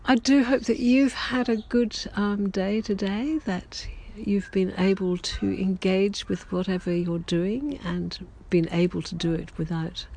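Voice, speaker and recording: A writer speaks slowly in a relaxed, reflective audio diary, pausing often and correcting themselves as they go. The voice is gravelly with some vocal fry and a touch of hoarseness left over from a cold. The delivery stays gentle and unhurried, as if addressing longtime listeners. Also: medium pitch. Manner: halting and gentle, with many ums and self-corrections. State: recovering from a lost voice, slightly hoarse. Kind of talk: slow reflective personal chat to listeners.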